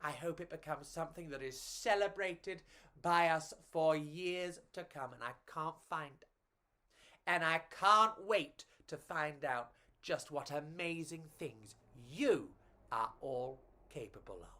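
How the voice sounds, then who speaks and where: A woman's voice: sits low, slow, fairly bright tone. A woman reading and chatting to children as a storyteller, in a theatrical, gushing and affectionate way.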